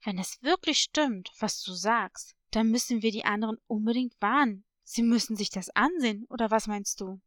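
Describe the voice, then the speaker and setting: A woman acts a young hippo boy in a children's story, a playful yet careful little brother. He talks casually and boyishly, pitched under the narrator's own voice. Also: moderate pace, hardly any pauses, fairly bright tone, no room sound, studio quality, close to an everyday voice. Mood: earnest.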